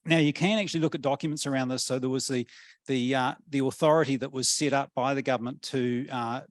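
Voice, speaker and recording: A middle-aged man with a New Zealand accent, giving a thoughtful panel talk with many pauses and restarts. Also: mid-range voice, fairly even intonation, dry recording, studio quality. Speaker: panel speaker, policy academic.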